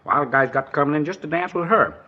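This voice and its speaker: A man's voice: fairly high pitch, fast, close to an everyday voice. A man playing a chatty, nervous dance hall proprietor with rambling, slangy speech in an old radio drama. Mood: gossipy. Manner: rambling, folksy, ungrammatical slang. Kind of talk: 1950s radio drama acting, naturalistic. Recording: dull old radio recording.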